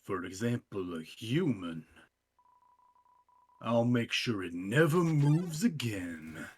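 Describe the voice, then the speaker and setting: Man voicing a slow, husky, menacing guard dog monster. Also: fairly low pitch, lively intonation, heavily acted. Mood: suspicious, menacing. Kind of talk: live game dub.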